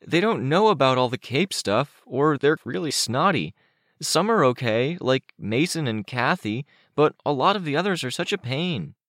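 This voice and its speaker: A man voicing an excitable, defensive young boy with a complaining, exclaiming manner in an audiobook story. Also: mid-range voice, normal speed, no echo, studio quality, voice pushed up. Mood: grumbling.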